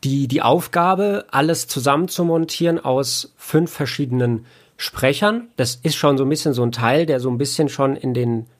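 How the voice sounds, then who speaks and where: A man chatting casually as an interview guest, halting often with filler sounds as he explains. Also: mid-range voice. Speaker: interview guest, audio editor and producer. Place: home studio, remote connection.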